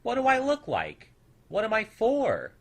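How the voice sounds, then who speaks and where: A man's voice: sits high, wildly swooping pitch, a little slow, voice pushed up, heavily acted. A man voicing a small, young, naive storybook creature, earnest and easily wounded, with a childlike manner. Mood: eager, excited.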